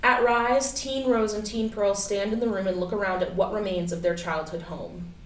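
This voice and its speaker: Woman reading stage directions, scripted narrator, normal pitch, far from mic, roomy echo. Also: fairly even intonation, moderate pace. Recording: distant, echoey room, faint noise.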